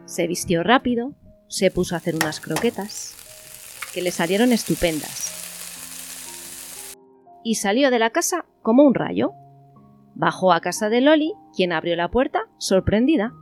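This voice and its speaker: A woman's voice: mid-range voice, animated, normal speed, long pauses, over music. A woman with an accent from Spain, narrating an amateur radio play as a scripted story.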